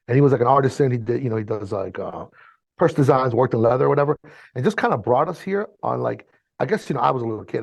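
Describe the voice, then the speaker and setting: A man in his fifties, born in Chile and raised in Miami, whose first language is Spanish, telling his story as a guest in a casual, slangy, animated way. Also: medium pitch, vocal fry, slightly rough, dry recording. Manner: animated, slangy, swears freely. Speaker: mixing engineer guest.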